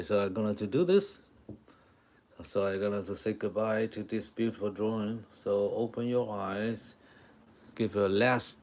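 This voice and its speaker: Slow-talking man with a Taiwanese accent. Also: mid-range voice, a little mellow, a little hiss or hum. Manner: halting, repeats short phrases, talks to viewers. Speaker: live-stream drawing artist and host. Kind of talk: casual live-stream chat with drawing demonstration.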